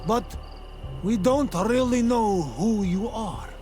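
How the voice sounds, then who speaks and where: An actor playing an earnest, cautious native islander in a video game, with simple, careful phrasing. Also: male voice, high voice, expressive, takes it slowly, over music. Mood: cautious, questioning.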